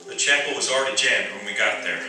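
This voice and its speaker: A man's voice: medium pitch, fast talker, close to an everyday voice. Man acting earnest young prisoner of war, echoey hall. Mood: solemn, tense. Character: young American prisoner of war recalling Christmas, earnest and anxious. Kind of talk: radio theatre narration and dramatic acting. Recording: echoey hall from far off, music behind.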